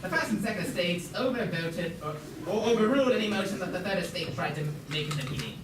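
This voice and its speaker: A student putting on a halting puppet museum guide, distant and echoey. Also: medium pitch, lively intonation, natural voice. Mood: formal, stumbling. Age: teenager or young student. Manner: halting, stumbling over words, giggly at times. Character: puppet museum guide, formal, mock-learned, named Schnitzelbach. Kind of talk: amateur school puppet show, scripted lecture. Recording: noisy, echoey room, far from mic.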